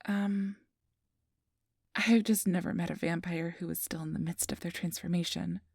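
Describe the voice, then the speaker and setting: A woman playing a friendly, easily flustered registration clerk in a soft-spoken ASMR roleplay, gentle, hesitant and reassuring. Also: fairly low pitch, fairly even intonation, moderate pace, creaky, breathy edge, dry recording, studio quality. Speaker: interviewer.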